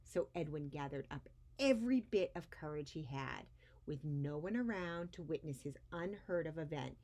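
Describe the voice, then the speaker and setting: A woman's voice: deep voice, animated, normal speed, warm tone. A woman hosting a story time for young children, speaking expressively as she shares a picture book.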